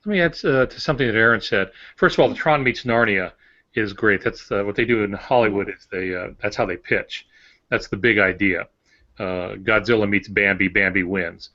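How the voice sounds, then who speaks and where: A man with a somewhat low voice gives advice as an author co-hosting a podcast. His delivery is explanatory and wry, casual but instructive. The recording sounds slightly dull and lo-fi. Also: moderate pace, bright, forceful tone. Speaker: novelist and podcast co-host giving writing advice. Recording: dull, band-limited audio.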